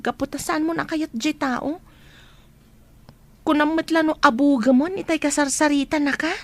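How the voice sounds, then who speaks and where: Nosy young filipino college friend, concerned, really high voice, radio drama style. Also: female voice, fairly even intonation, normal speed. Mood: reproachful. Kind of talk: Ilocano radio drama acting.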